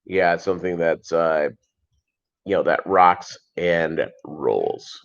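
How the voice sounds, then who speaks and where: Middle-aged man rambling on a lo-fi stream. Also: deep voice. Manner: rambling, halting, repeats phrases. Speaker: livestream host. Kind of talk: casual livestream chat. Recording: dull lo-fi livestream audio.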